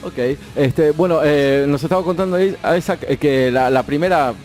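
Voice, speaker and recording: A man with an Argentine accent interviewing a band and translating, halting and full of fillers, switching between Spanish and English. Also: on the high side, a little mellow, faint music behind. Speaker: radio co-host and interviewer. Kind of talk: bilingual interviewing with live translation.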